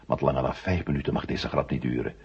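A very deep, surly astronaut. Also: male voice, quick pace, full character voice. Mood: dry, conspiring. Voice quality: gravelly, husky. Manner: terse, dry. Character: male astronaut, curt, surly, taciturn. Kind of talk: 1970 Dutch radio play acting. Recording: dull, muffled old radio recording.

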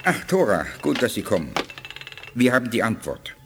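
Audio drama alien elder: measured, questioning, mid-pitched and animated, slightly noisy recording. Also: male voice. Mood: curious. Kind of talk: 1980s German radio drama acting. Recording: faint background noise.

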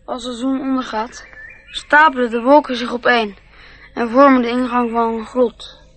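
A woman's voice: on the high side, fairly even intonation, normal speed, a bit bright, slight background noise, lightly acted. A woman playing a dreamy, earnest little boy in a Dutch radio play, speaking in a childlike way.

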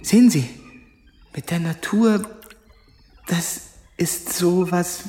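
An actor playing a troubled, humble soldier in a radio play, halting and feverish as he broods on his visions. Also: sits high, mellow. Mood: haunted, searching. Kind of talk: German-language radio play acting. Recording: long hall reverb, faint noise.

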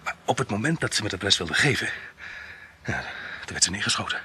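An actor playing a calm, dry-humoured male detective who explains things in a level way in an old radio play. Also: fairly low pitch, fast talker, a little hiss or hum, full character voice. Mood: steady. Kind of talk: radio drama acting.

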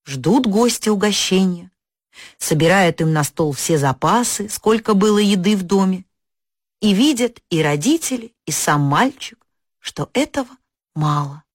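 A woman narrating a folk fairy tale for an audio story, expressive and lifting her pitch on key words. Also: measured pace, dry recording, studio quality.

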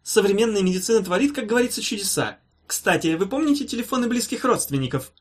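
A man's voice: sits high, expressive, fast, voice pushed up. A man voicing a pompous, sly medical professor with falsely soothing, pedantic, tutting patter in an audiobook. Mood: smoothly patronising. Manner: wheedling pedantic patter, tutting, padded with stock phrases.

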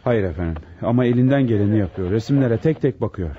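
An actor playing a deferential police officer with a brisk, obedient manner in a radio drama. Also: male voice, on the low side, expressive, crowd noise, lightly acted. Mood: dutiful. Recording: muffled, slightly noisy radio drama.

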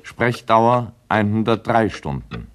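An actor playing an impassive timekeeper in a radio play, making terse, matter-of-fact announcements over a slightly noisy recording with a ticking clock. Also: male voice, lowish voice, big pitch swings, takes it slowly. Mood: impassive, factual. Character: impassive male timekeeper announcing hours of talking.

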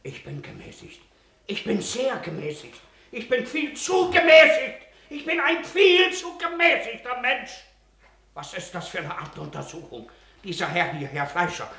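A man's voice: sits high, sing-song, normal speed, bright, forceful tone, roomy sound, full character voice. An actor playing an indignant, querulous man who insists on his rights, in a radio play. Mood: worked up. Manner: insistent, querulous, easily worked up. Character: indignant, blustering male theft victim demanding his rights. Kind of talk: German radio play acting.

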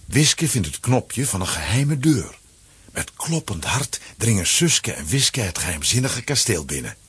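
A man with a Flemish accent and a husky voice, narrating a children's adventure as a scripted audio drama. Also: lowish voice, sing-song, unhurried, a bit bright, full character voice. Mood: suspenseful.

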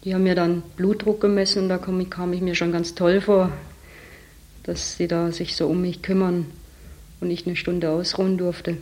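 A woman's voice: on the low side, flat delivery, moderate pace, darkish tone. A young woman, a department store saleswoman, frankly describing her workplace in an interview. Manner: frank, critical, talks with some hesitation.

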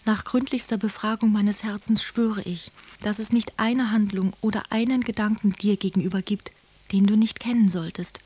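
An anxious, submissive woman played by an actress speaks earnest in a soft, very mellow voice of middle pitch. She sounds imploring, as if confiding a letter in a radio play. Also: fairly even intonation, normal speed, slightly rough, close to an everyday voice. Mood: pleading. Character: anxious, pleading, submissive mother and devoted penitent friend. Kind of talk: German radio drama, letters read in character.

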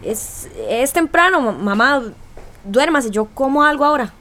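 An actress playing a nervous, evasive teenage daughter in a radio drama. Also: female voice, high-pitched, lively intonation, unhurried, bright, forceful tone, heavily acted. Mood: nervous, furtive.

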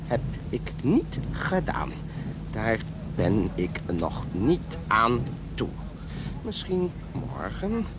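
A male voice plays a grumpy, uneasy man dictating a diary in a radio drama. He speaks extremely slowly, with halting deadpan phrases that still move widely in pitch, over clear vehicle-like noise. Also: heavily acted.

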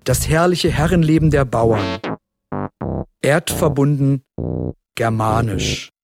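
An actor playing an intense narrator in an audio drama, declaiming grimly and repeating phrases insistently. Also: male voice, mid-range voice, slow, darkish tone, no room sound, soft background music. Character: intense male narrator, chanting and repeating grim war phrases.

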